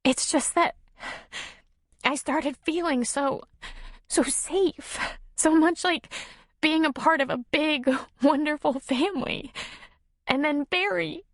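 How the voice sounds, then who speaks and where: Narratrice che interpreta una giovane madre in lacrime, voce acutissima. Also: female voice, on the high side, animated, dry recording, clean studio sound, voice pushed up. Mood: tearful, overwhelmed. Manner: emotional, halting, confiding. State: tearful, sniffling. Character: tearful timid young single mother, grateful and vulnerable.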